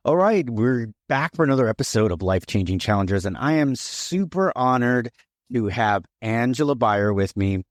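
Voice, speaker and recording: A man's voice: medium pitch, animated, normal speed, dry recording, studio quality. A man hosting a podcast, welcoming a guest and asking questions in a loose, chatty way. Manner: scripted upbeat intro, then loose chatty questions. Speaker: podcast host.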